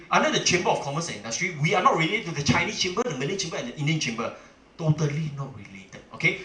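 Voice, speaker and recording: A middle-aged man with a Singaporean English accent speaks to a seminar audience, rushing his words and pushing each point with a bright, forceful voice. He peppers his talk with "okay" and "ah" and keeps checking with the room. His voice rings out in an echoey room. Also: mid-range voice. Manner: emphatic, asks the audience questions, frequent "okay" and "ah" fillers. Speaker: chamber president giving a seminar.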